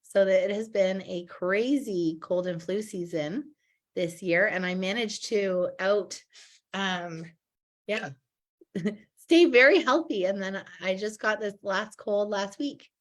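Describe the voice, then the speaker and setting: A woman in her thirties, slightly husky from a cold, hosting a casual online product presentation on a video call with many ums and restarts. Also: mid-range voice, takes it slowly. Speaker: host, direct sales presenter.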